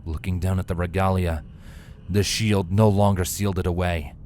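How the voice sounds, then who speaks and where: A man narrates a fantasy story in a dramatic, scripted reading style. His voice sits on the low side. Faint ambient noise runs behind him. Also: little pitch movement, moderate pace, a little mellow. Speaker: fan fiction narrator.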